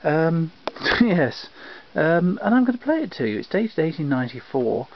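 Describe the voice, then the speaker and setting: A man's voice: on the high side, sing-song, normal speed. A man presenting antique recordings to viewers in a theatrical, mock-formal announcer's manner. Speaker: collector presenting antique phonograph cylinders. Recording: dull lo-fi sound with faint noise.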